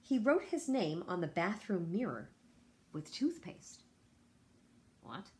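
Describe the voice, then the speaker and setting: A woman teacher reading a picture book aloud to children, expressive, with chatty asides to her young listeners. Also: fairly low pitch, slow.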